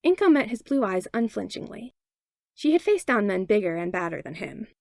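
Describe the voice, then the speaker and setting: A woman narrating a fanfiction audiobook in an expressive read-aloud manner on a close mic. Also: mid-range voice, a little fast, creaky, dry recording. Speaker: fanfiction podfic reader. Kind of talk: storybook narration.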